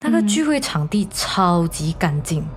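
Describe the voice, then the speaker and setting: A woman's voice: on the low side, sing-song, normal speed, a little hiss or hum. A woman with a Malaysian accent telling a story casually and explaining as she goes, mixing in English words. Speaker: podcast host telling a story. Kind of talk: casual spoken storytelling to co-hosts.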